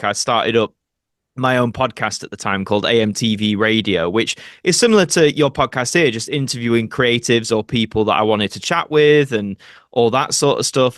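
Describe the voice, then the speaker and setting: A man's voice: medium pitch, a bit bright, dry recording. Chatty Yorkshire man in a dry recording. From Doncaster, Yorkshire, England. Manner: chatty, colloquial, rambling anecdotes. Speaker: actor, podcast guest. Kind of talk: casual interview storytelling.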